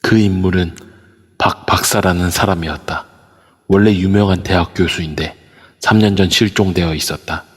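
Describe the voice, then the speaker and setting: Low raspy guy narrating a spooky story, echoey. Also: moderate pace. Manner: steady storytelling. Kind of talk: scripted audio drama narration. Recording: long reverb over a voice app.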